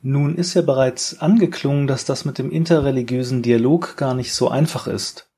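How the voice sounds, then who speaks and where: A man's voice: mid-range voice, moderate pace, mellow, breathy edge. A male podcast host reading a formal introduction and asking polite interview questions.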